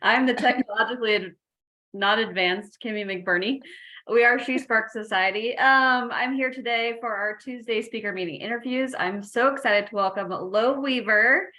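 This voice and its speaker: A woman's voice: fairly high pitch, moderate pace, sharp tone, low-quality recording. A woman hosting an interview, enthusiastic and gushing in a casual way. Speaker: interview host.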